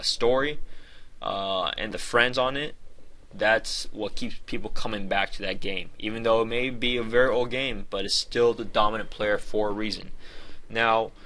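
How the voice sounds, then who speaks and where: A man's voice: lowish voice, fairly bright tone, rough recording. A man giving an informal game review in a conversational, opinionated and emphatic way.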